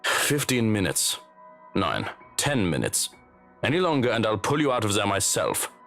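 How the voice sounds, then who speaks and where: A man's voice: on the low side, big pitch swings, normal speed, fairly bright tone, soft background music. A man voicing a stern, grudging male servant in a visual novel game, with a fussy, scolding manner. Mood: grudging warning.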